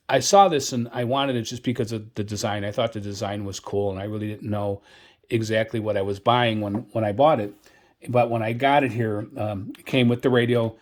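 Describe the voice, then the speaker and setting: Man, mid-pitched, casual reviewer presenting gear. Hesitant, rambling, repetitive delivery. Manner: hesitant, rambling, repeats himself. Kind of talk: casual product demonstration.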